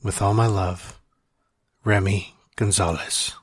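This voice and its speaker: A man's voice: fairly low pitch, steady tone, slow, slightly rough, no room sound. A man playing a wry, confessional dying man reading out his farewell letter in an audio drama. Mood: gentle, wry farewell. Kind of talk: dramatic monologue in fiction podcast.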